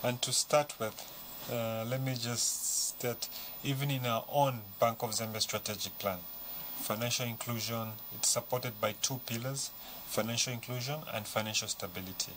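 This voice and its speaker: A man from a central bank answering interview questions in a formal, measured way. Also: medium pitch, fairly even intonation, background noise.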